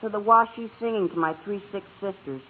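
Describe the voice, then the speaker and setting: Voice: medium pitch, quick pace, close to an everyday voice. An actor voicing a cheeky young boy peddling Christmas trees with wheedling salesman patter in an old radio comedy. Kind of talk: 1940s-50s radio comedy acting. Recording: tinny noisy old radio, studio audience.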